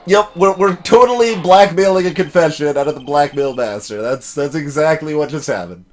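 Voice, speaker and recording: A man's voice: on the high side, moderate pace. A man hosting a let's play with casual commentary, reading captions aloud and adding wry, rambling asides.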